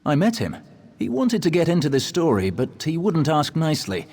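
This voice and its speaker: An actor playing a whimsical male storyteller in a video game, arch, amused and theatrical, with a husky voice. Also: fairly high pitch, wildly swooping pitch, normal speed, a little mellow, exaggerated voice. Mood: explaining, urging. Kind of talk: video game character dialogue.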